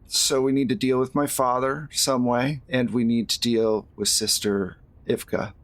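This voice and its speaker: A man playing a solemn young man in a tabletop game, talking in a grave, measured storytelling way. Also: mid-range voice, little pitch movement, no echo, lightly acted. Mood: grave, resolute.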